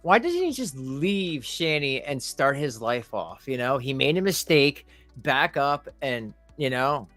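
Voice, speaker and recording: A man's voice: medium pitch, big pitch swings, a little slow, fairly bright tone, soft background music. A man hosting a casual live reaction stream, mocking and laughing often.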